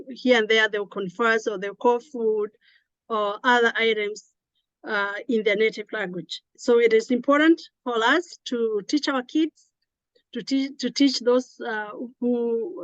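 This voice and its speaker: A woman's voice: a little high, a bit bright, no room sound, muffled recording. A woman hosting an online session, giving earnest opening remarks with many fillers. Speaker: event host. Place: home in New Jersey.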